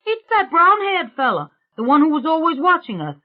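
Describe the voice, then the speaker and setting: A woman's voice: high-pitched, wildly swooping pitch, moderate pace, dull audio, pitched above the performer's own voice. A woman voicing a rattled, aggrieved working-class male painter in an audiobook, talking in an emphatic, colloquial way. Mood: uneasy, insistent.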